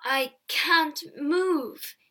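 A woman voicing a grumpy, indignant fat male peddler of fifty in a storybook, speaking slowly and emphatically. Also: sits high, lively intonation, fairly bright tone. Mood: weak, accusing. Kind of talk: storybook character acting.

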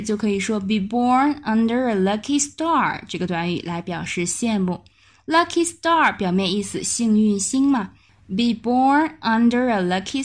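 Woman, higher-pitched voice, Mandarin speaker, English teacher, explanatory, measured repeated examples. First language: Mandarin Chinese. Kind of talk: language lesson narration with slow, repeated example sentences.

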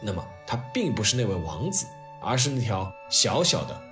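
Man narrating with very animated pitch over music. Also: moderate pace. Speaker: host of a history storytelling podcast. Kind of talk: scripted narration with piano-backed storytelling and personal reflection. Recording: music playing behind the voice. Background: soft piano and orchestral music.

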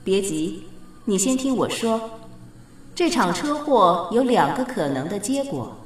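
Mysterious goddess of fate for radio drama: unhurried authority, wrapped in echo. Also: female voice, medium pitch, very animated, recorded from a distance. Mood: calm, mysterious. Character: mysterious goddess of fate, calm, bargaining, commanding. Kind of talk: Chinese radio drama dialogue.

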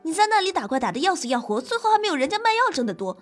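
A woman's voice: very high-pitched, big pitch swings, rapid-fire. A woman host reading scripted gaming narration in a playful, dramatic storytelling manner. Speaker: game show host and streamer. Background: background music.

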